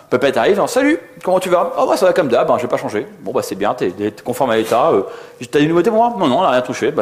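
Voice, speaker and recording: A man giving an informal technical conference talk in a slangy, conversational way, often acting out little dialogues. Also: medium pitch. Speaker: conference co-speaker, software developer.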